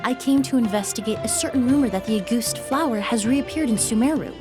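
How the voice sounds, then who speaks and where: Brief: a woman doing a calm, articulate young perfumer, slightly high voice, soft music behind, game dialogue. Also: lightly acted. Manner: measured, articulate, explanatory. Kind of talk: game voice acting.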